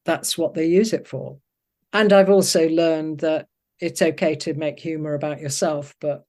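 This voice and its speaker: An older woman talking reflectively in an interview, thoughtful and often pausing to search for her words. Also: sits low, darkish tone. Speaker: interviewed poet.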